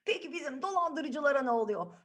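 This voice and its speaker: A woman's voice: high voice, lively intonation, a little fast, fairly bright tone. A woman telling fairy tales to children in a playful, chatty way, often checking in with her listeners. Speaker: children's storyteller, host. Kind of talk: animated fairy tale narration. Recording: music behind the voice. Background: music.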